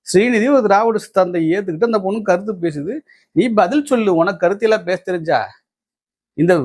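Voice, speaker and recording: A man with a Tamil Nadu accent giving opinionated political commentary, indignant and combative, repeating phrases for emphasis. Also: sits high.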